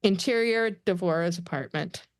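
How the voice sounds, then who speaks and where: A woman's voice: on the low side, normal speed, no echo. A woman reading stage directions as narrator of a scripted table read.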